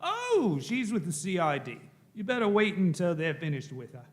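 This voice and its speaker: A man playing a brusque police desk sergeant in a radio play. His voice is high and jumps around with lively swings. He questions and echoes back what he hears, baffled. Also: normal speed. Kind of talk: live radio-play acting.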